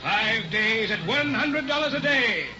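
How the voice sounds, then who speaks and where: A man's voice: very high-pitched, expressive, a little slow. Sinister showman hypnotist, theatrical radio-drama delivery, music bed behind. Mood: showman pitch. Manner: theatrical barker, menacing. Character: sinister carnival hypnotist professor, smooth showman. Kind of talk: old-time radio drama acting. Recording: dull old radio recording with music.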